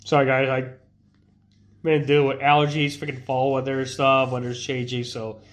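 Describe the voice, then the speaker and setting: A young man with a stuffy, congested voice talks to his viewers in a loose, rambling way. He keeps restarting his sentences and leaning on fillers as he chats. His pitch sits in a middling range for a man. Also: completely flat delivery, moderate pace. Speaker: streamer. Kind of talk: casual live-stream chat.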